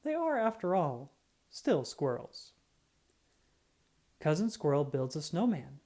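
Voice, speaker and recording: A woman reads a children's picture book aloud with a low, mellow voice. Her intonation is lively and animated, shaped simply and expressively for young listeners. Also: takes it slowly. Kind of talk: scripted children's storybook read-aloud.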